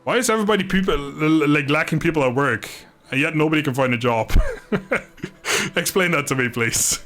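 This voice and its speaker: A man livestreaming a video game, chatting informally and thinking aloud in a halting, casual way. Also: a little high, fairly bright tone, a little hiss or hum. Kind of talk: casual livestream chat over gameplay.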